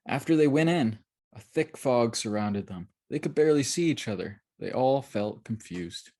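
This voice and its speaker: Children's audiobook narrator: expressive, dramatic, widely varied pitch, some vocal fry. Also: moderate pace. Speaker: author reading their children's book aloud. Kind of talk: scripted storybook narration.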